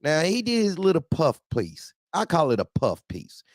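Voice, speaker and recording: A man's voice: on the high side, huge pitch swings, normal speed, no room sound, studio quality. An American man hosting a casual, heated talk show, speaking in slangy colloquial language and repeating phrases for emphasis. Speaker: host of a trucker talk show. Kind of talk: casual, heated group chat.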